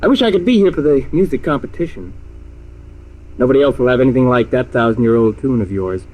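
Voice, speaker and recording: A man's voice: medium pitch, lively intonation, fast, a little mellow, lightly acted, low-quality recording. An actor playing a kindly, devoted male researcher in earnest, courteous 1950s radio style. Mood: admiring.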